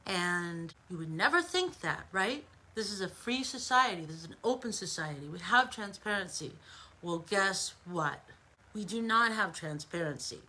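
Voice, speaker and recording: A woman's voice: fairly low pitch, lively intonation, normal speed, pushed and bright, poor audio. An American woman giving an informal opinion monologue as an online commentator, emphatic and conversational, with rhetorical asides.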